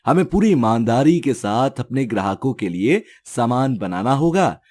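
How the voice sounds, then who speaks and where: A man's voice: mid-range voice, expressive, moderate pace, a little mellow, close to an everyday voice. A man playing an honest, simple village snack seller in a storybook tale, speaking plainly. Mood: pleased, earnest. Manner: earnest, plain-spoken. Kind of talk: storybook character dialogue.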